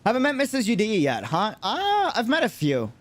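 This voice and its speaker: A man's voice: sits high, huge pitch swings, a little fast. A man streaming in a casual chat with viewers, talking animatedly and repeating phrases for emphasis. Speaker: streamer and content creator.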